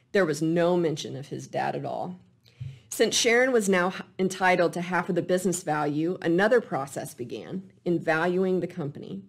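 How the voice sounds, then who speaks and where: Female presenter, lower-pitched voice, measured and deliberate storytelling of a professional case study.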